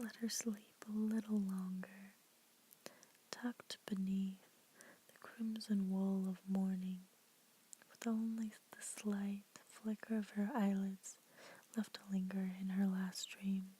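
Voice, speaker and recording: A woman's voice: mid-range voice, flat delivery, slow pace, slightly rough, low-quality recording. A young woman whispering throughout, reading poems aloud for an ASMR video. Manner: soft unvoiced whisper throughout; casual, chatty intro with fillers. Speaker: ASMR creator.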